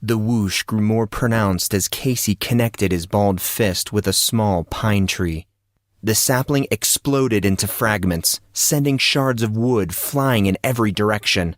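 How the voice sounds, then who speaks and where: A man reading fantasy fiction as an audiobook narrator, in a deliberate, suspenseful dramatic style. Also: fairly low pitch, no room sound, studio quality.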